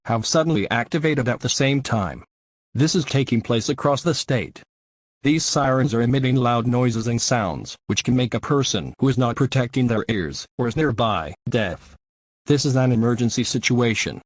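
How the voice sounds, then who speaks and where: A man's voice: medium pitch, dry recording, close to an everyday voice. A man playing a stern, official announcer reading a scripted government emergency alert.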